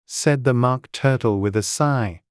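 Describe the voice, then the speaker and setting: A woman's voice: rumbling low, unhurried, a little mellow, smooth, no room sound, studio quality. A woman reading scripted storybook narration as an audiobook narrator.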